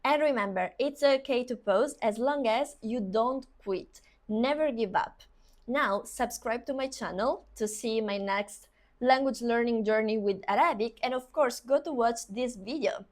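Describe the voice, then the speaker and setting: A young woman who is a native Italian speaker, talking casually to camera about learning languages, chatty, saying "of course" and "like" often. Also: fairly high pitch. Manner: quick, chatty, repeats "of course" and "like".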